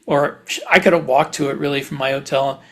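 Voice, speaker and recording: A man's voice: medium pitch, fairly even intonation, fairly quick. A man chatting casually as a podcast host, rambling through anecdotes.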